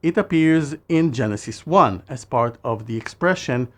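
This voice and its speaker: A man who speaks natively, narrating a scripted language lesson in a measured way and slowing down for phrases. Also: mid-range voice, expressive, a bit bright. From Israel. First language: Hebrew. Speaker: language teacher.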